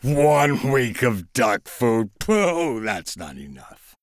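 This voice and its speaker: A man voicing a sly, scheming male cat in a storybook reading, coaxing and persuading in a slow, deliberate way. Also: medium pitch, wildly swooping pitch, no echo, studio quality, heavily acted.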